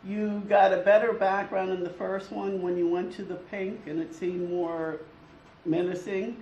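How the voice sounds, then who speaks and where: A teacher giving a classroom critique of student work, thinking aloud in a halting way. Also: mid-range voice, flat delivery, a little mellow, lo-fi sound. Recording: echoey room with faint noise.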